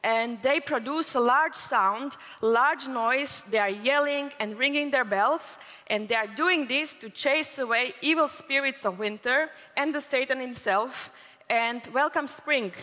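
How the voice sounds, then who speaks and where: Woman, from Croatia, conference keynote, clear bright tone, stage mic, reverberant hall. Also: sits high, measured pace. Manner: fluent, explanatory, with occasional fillers. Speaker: conference speaker.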